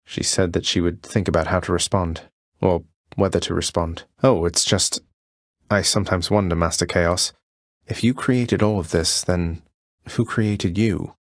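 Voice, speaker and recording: A young underworld prince from a video game, speaks in a low, close, dry-recorded voice. He is cocky yet polite, with an understated, wry delivery. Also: steady tone, a little slow, no echo. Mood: respectful, earnest. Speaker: voice actor playing a video game hero. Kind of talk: video game voice lines, short combat barks and dialogue.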